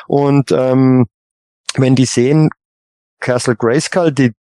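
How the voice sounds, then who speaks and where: A man on a casual podcast panel, reasoning in a halting way and often repeating his words. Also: mid-range voice, fairly even intonation, warm tone, no room sound, studio quality.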